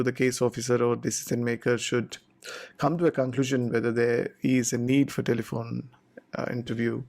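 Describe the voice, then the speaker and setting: A man giving an informal explainer talk to viewers, deliberate and step-by-step in short phrases. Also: medium pitch, creaky, darkish tone. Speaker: presenter explaining visa procedures.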